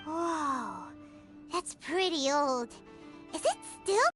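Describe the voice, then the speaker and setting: A woman voicing a tiny, excitable, childlike fairy companion in a fantasy game, with a squeaky voice. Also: drawn-out words, full character voice. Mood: curious, eager. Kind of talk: scripted game dialogue acting. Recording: music clearly behind voice.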